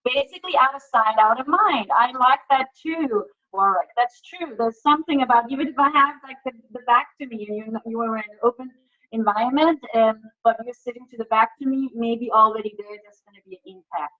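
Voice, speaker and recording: A woman's voice: on the high side, a little fast. Woman from Denmark hosting a webinar. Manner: chatty, restarts often, lively questions to the audience. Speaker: workshop facilitator. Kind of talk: webinar presenting. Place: home. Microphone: video call. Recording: slightly muffled video call audio.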